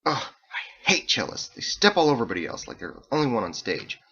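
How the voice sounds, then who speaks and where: A man's voice: lowish voice, huge pitch swings, racing, fairly bright tone, husky, dull audio, exaggerated voice. A man voicing a goofy, eager young male roommate in a novel, with a chatty, slangy manner. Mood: annoyed.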